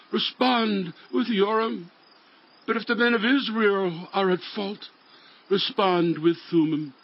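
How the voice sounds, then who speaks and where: High-pitched, commanding ancient king. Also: lo-fi sound. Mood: pleading, solemn. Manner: declamatory, imperious, drawn-out phrasing. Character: stern, commanding warrior king of ancient Israel. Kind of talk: dramatized audio Bible acting.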